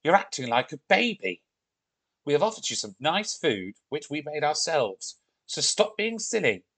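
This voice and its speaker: A man's voice: mid-range voice, big pitch swings, unhurried, slightly rough, no echo. A man voicing an outspoken, bossy young girl in a children's story, speaking emphatically. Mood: angry scolding. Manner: scolding, emphatic.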